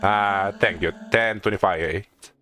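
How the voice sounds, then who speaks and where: A man giving casual video game commentary as a streamer, haltingly thinking aloud and often repeating himself. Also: lowish voice, bright, forceful tone.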